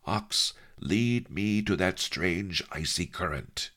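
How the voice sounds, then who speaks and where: A man voicing a sly, commanding old sage in a story, with a deliberate, shrewd way of talking. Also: lowish voice, lively intonation, creaky, a bit bright, voice pushed up, exaggerated voice.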